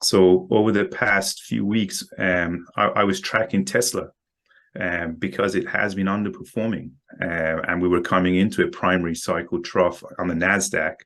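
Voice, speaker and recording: A man talking as a guest market analyst in a casual interview, halting and often repeating words as he explains. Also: sits low, steady tone, a bit bright.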